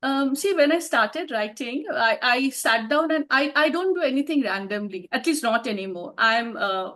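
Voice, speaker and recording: Lady in her 50s chatting about her writing, bit high voice, thoughtful, laughs a lot. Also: animated, normal speed, fairly bright tone. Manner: reflective, laughs often, restarts phrases. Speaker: author being interviewed. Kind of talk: conversational interview answers.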